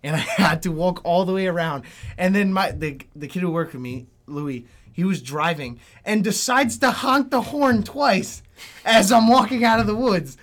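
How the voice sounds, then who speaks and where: A young adult man chatting casually with friends, telling stories in an animated, slangy way with swearing. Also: high voice, moderate pace. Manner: animated, profane, slangy storytelling. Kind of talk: casual storytelling chat among friends.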